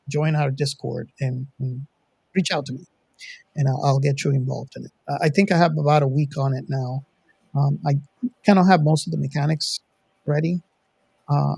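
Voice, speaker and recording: A man with a fairly high voice hosts a casual hobby podcast. He meanders and pauses, leaning hard into the start of his sentences. The sound is very dry, with no room around it. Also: creaky, darkish tone, dry recording. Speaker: podcast host.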